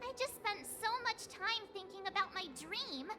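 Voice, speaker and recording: Very high girlish young woman. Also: expressive, moderate pace, over music. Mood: disappointed. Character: huffy, proud small young woman.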